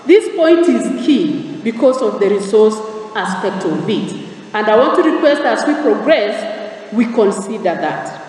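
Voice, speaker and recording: A woman with a Kenyan accent delivers a formal prepared speech at a government forum, in a measured manner, setting out her points in order. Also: fairly high pitch, a little mellow. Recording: distant, echoey hall with background noise.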